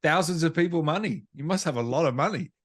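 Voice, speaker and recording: A man hosting a casual interview, friendly and agreeable in the way he talks. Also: fairly high pitch. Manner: friendly, agreeable, quick to laugh. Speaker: interviewer, host.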